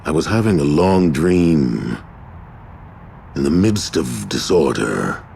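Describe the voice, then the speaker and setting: An actor playing a huge, weary god of discord in a video game, speaking in a brooding, solemn, sorrowful and halting way. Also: male voice, very deep voice, expressive, creaky, darkish tone, a little hiss or hum, heavily acted. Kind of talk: dramatic video game voice acting.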